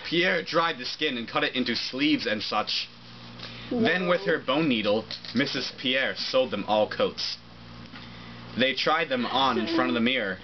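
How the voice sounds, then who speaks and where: A man's voice: mid-range voice, unhurried. Man reading aloud, muffled and noisy. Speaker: reader of a children's picture book. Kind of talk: reading a storybook aloud with joking asides to friends. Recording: muffled amateur recording with loud background noise. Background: friends talking and laughing over noise.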